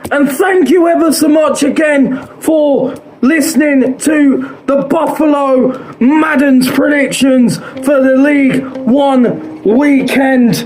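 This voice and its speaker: A man with a hoarse, fading voice hosts his own show, predicting football scores in a chatty, repetitive way and filling pauses with "uh". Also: extremely high pitch, a little mellow, low-quality recording. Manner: chatty, quotes odds, repeats himself, frequent "uh".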